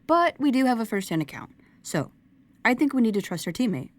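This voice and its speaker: A woman playing a logical, formal investigator in an audio drama, talking in deliberate technical language. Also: fairly high pitch, big pitch swings. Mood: analytical. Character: analytical, formal female investigator, measured and logical.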